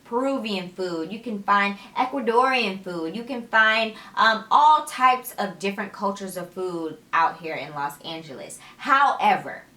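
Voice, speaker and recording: Young woman talking to her camera, sassy and full of energy, kinda tired and raspy, roomy echo. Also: medium pitch, measured pace, hardly any pauses. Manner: energetic, chatty, laughs often, sassy asides. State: tired, slightly raspy voice late at night. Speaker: vlogger. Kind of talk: casual chat to camera. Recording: echoey room.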